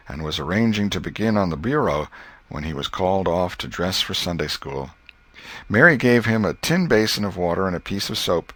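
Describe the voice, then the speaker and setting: A man with a very low voice reads a classic novel aloud as an audiobook narrator. His delivery is measured and wry, taking its time over the long sentences. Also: fairly bright tone.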